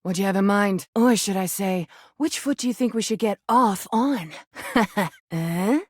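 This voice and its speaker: A woman's voice: medium pitch, measured pace, a little mellow, dry recording, clean studio sound, lightly acted. A woman voicing a crude, flirty, mocking rubber duck come to life in a video game. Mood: cheeky, flirty. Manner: sassy, mocking, combative, suggestive. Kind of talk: video game character dialogue.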